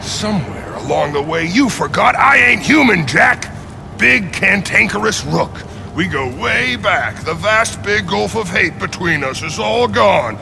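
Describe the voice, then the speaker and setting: Gravelly, cantankerous game creature. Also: male voice, high-pitched, exaggerated voice. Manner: sardonic, gruff, folksy, foul-mouthed. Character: big cantankerous old non-human male creature. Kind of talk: video game character acting. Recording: loud music under the voice.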